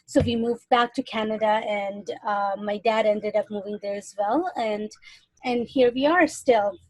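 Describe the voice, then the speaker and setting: A woman from Iran, speaking as a podcast guest in casual interview talk, earnest and often pausing with "um". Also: medium pitch, dry recording, rough recording. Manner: earnest, with frequent "um" fillers.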